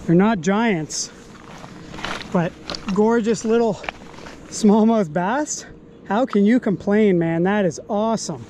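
A young man fly fishing outdoors while wading in a river, excitedly commenting on each catch into a body-worn action camera. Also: sits high, takes it slowly, pauses often, a little hiss or hum. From Canada. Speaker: fly-fishing angler and host. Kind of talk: casual outdoor vlog commentary.

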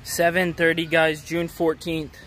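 A young man narrates his catches straight into a handheld phone beside a pond. His voice sits a bit high and stays fairly flat, relaxed and offhand. Faint outdoor traffic noise runs underneath. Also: measured pace. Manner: casual, upbeat, talking to viewers between catches. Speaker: fishing vlogger. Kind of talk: casual handheld fishing vlog. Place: outdoors at a city pond edge. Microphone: phone camera held in hand.

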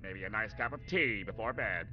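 A man voicing a weary, sardonic squid in a cartoon video game, nasal, snooty and deadpan. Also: on the low side, moderate pace, dull audio, faint music behind. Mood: bored, dismissive.

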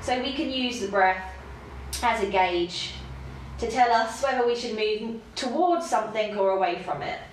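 A young woman with a stuffy nose from hay fever, teaching a casual yoga class at home, chatty with laughing asides, then slow and calm cues. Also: fairly high pitch. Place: home room with yoga mat. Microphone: camera across the room. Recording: very echoey room, faint background hiss.

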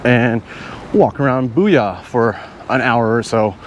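A man's voice: medium pitch, animated, slow pace, a little hiss or hum. A man in his thirties filming a casual travel vlog outdoors at arm's length, thinking aloud as he wanders without a plan. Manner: easygoing, thinking aloud, unplanned. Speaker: travel vlogger. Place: outdoors in parks and streets. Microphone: handheld camera at arm's length.

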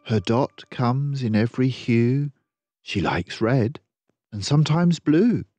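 Slow-paced older man reading to children. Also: mid-range voice, lively intonation, warm tone, no room sound. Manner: measured, deliberate, rhyming delivery. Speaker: storyteller reading a children's picture book. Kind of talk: expressive scripted read-aloud for children.

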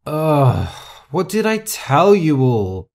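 A woman's voice: low-pitched, sing-song, slow pace, a little mellow, voice pushed up, exaggerated voice. A woman acts out a groggy man in a storybook tale. He groans and scolds reproachfully.

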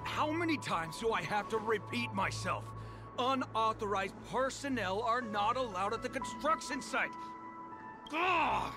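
Casting: actor as middle-aged male construction guard, hot-tempered, resentful, very animated delivery, game music underneath. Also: medium pitch, measured pace, natural voice. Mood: angry, scolding. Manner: blustering, scolding, theatrical. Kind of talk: video game voice acting.

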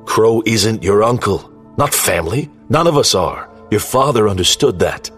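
An actor playing a solemn, wary god in mortal form, speaking in a grave, measured, formal way in an audio drama. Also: male voice, on the low side, animated, a bit bright, faint music behind. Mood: grave warning.

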